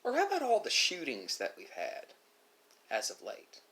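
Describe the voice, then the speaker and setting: A man delivering a reflective monologue, speaking philosophically with dramatic pauses and emphasis. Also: on the high side, huge pitch swings, gravelly, bright, forceful tone. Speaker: video creator.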